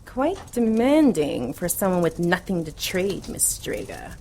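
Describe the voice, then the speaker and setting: An actress playing a hideous female demon who is cruel, mocking and seductive in a slow, theatrical audio drama manner. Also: on the low side, very animated, dark tone.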